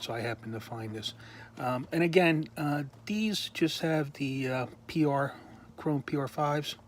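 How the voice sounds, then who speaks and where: Guy going slow showing his toy collection, lots of ums, throat clearing, voice going, faint hiss behind. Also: medium pitch. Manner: slow, halting, many ums and uhs, frequent throat clearing. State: losing his voice. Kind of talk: casual show-and-tell.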